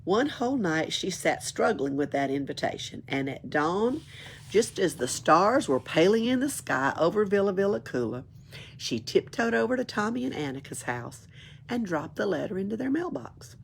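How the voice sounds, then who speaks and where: A woman reads a children's book aloud in an expressive storytelling way. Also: medium pitch, normal speed, gravelly, a little hiss or hum. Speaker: library storyteller.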